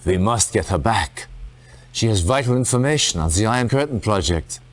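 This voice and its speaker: A man playing a stern, resolute military commander in a video game, speaking in a formal, dramatic, deliberate way. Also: lowish voice, expressive, lightly acted. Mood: urgent.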